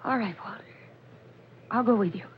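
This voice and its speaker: A woman's voice: on the high side, normal speed, close to an everyday voice. An actress playing a nervous, evasive young American woman, coaxing and hesitant, in 1940s radio mystery style. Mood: feigned ease. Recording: muffled, noisy 1940s radio recording.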